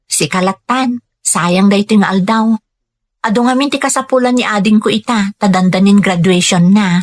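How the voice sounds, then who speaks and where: A woman's voice: medium pitch, normal speed, close to an everyday voice. A Filipino woman playing a hardworking, self-sacrificing daughter who works abroad as a maid in an Ilocano radio drama. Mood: earnest.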